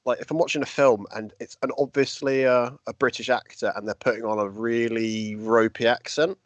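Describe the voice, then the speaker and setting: A man's voice: mid-range voice, fairly even intonation, a little fast, no echo. A man co-hosting a live stream, chatting in a wry, rambling way. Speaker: co-host. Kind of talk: casual live-stream chat.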